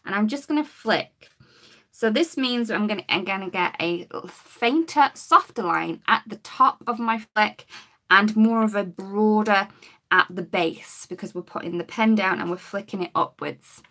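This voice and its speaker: A woman, her voice a bit croaky, demonstrating coloring with markers in a chatty live-stream lesson. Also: mid-range voice, unhurried, fairly bright tone. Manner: chatty, talks while working, greets viewers. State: slightly croaky voice.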